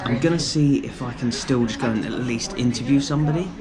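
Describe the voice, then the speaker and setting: A man's voice: medium pitch, fast, a crowd in the background. A man presenting a casual football vlog, chatting to camera in an enthusiastic way. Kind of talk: casual travel vlog chat. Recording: noisy outdoor recording with wind or traffic.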